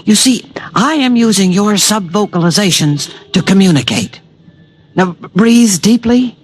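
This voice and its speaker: A man's voice: high-pitched, expressive, a little slow. An invisible alien protector, fussy and eager, his voice rising and falling a great deal as he hunts for the right words. He is slightly pedantic, in the style of 1950s radio drama acting.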